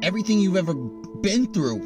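A man chats informally in a high voice for a man. Loud music competes with his words throughout. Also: sing-song, normal speed. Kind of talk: casual chat.

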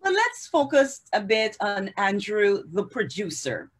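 A woman hosting an online arts interview, welcoming viewers and asking measured questions over a video call. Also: mid-range voice, very animated. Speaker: show host. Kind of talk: hosted interview. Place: home room.

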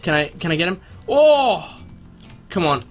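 A young man giving casual, excitable gameplay commentary in an animated way, often interrupting himself. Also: high-pitched, unhurried, soft background music. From born in New Zealand, spent first three years there. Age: young adult. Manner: animated, self-interrupting, prone to exclamations. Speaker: gaming video host. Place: small room at home, indoors.

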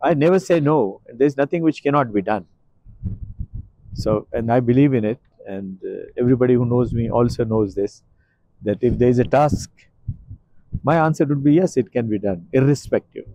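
Older guy, earnest and emphatic, warm mellow voice, outdoor courtyard, dry close sound. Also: mid-range voice, normal speed, dry recording, low-quality recording. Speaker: interview guest. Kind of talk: outdoor walking interview.

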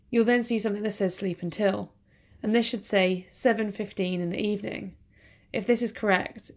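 A woman reading a scripted voice-over that gives slow, careful, step-by-step instructions for setting up equipment. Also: medium pitch, gravelly, a little mellow. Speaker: project presenter.

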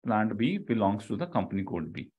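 A man's voice: fairly low pitch, big pitch swings, brisk, slightly rough, phone-quality sound. Indian-accented man teaching a live online lecture. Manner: explanatory, repeats key phrases, checks with "okay" and "right". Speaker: online software instructor.

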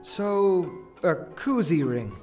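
A man's voice: fairly high pitch, big pitch swings, very slow, darkish tone, faint music behind, full character voice. A man playing a flippant, sarcastic young wizard in a comic dub, with a sassy, dry way of talking.

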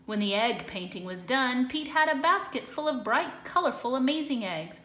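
A woman reading a picture book aloud to children in an expressive, deliberate way, recorded in an echoey room. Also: high-pitched. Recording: echoey hard-floored room.

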